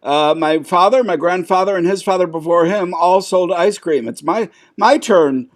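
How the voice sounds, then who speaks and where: A man's voice: sits high, normal speed, lightly acted. A man playing a flustered, exasperated ice cream shop owner interviewing applicants. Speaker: improv player.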